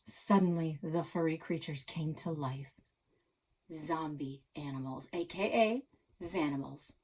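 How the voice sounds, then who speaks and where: A woman reading a children's story aloud as a dramatic narrator, expressive and suspenseful. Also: sits low, measured pace, breathy edge.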